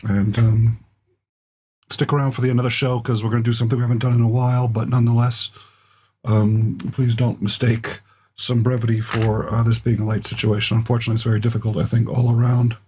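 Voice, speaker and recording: A man hosting a live talk stream while losing his voice, speaking haltingly with many fillers in an echoey home room. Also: mid-range voice, level tone, dark tone, husky. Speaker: show host. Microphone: close desk mic. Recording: echoey room, mic held close.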